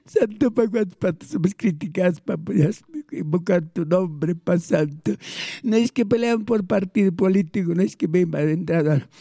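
A man's voice: high voice, normal speed, warm tone, no room sound, clean studio sound. A man with a Peruvian Spanish accent praying aloud as a pastor, pleading fervently with repeated invocations. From Peru. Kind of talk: fervent spoken prayer.

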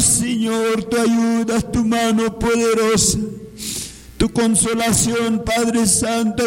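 A man praying fervently and spontaneously in a pleading, impassioned manner, repeatedly calling on God. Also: very high-pitched. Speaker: worshipper leading prayer. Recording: long echoing hall reverb.

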